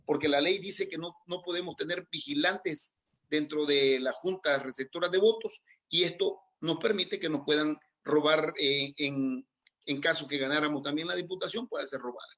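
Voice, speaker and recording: A middle-aged man with a Salvadoran accent and a fairly high-pitched voice. He answers as a guest in a political interview, haltingly, often doubling back on his words as he explains. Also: fairly bright tone. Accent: Salvadoran Spanish. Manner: hesitant, halting delivery with repeated words. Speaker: interview guest, aspiring legislative candidate.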